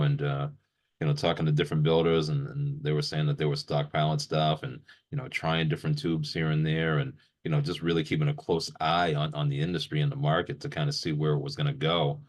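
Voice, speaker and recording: A man's voice: rumbling low, level tone, quick pace. A man hosting a gear show, chatting casually and agreeably as an interviewer and often repeating his phrases.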